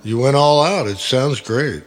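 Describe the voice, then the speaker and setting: A man in his late sixties hosting a radio podcast, chatty and enthusiastic, with quick interjections. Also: medium pitch. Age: 60s. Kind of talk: casual conversational interview.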